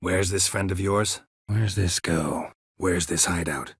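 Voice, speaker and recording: An actor playing a stoic, world-weary monster hunter in a video game, with a gravelly, husky voice and terse, dry, deadpan delivery. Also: male voice, sits low, takes it slowly, dry recording, full character voice. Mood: matter-of-fact.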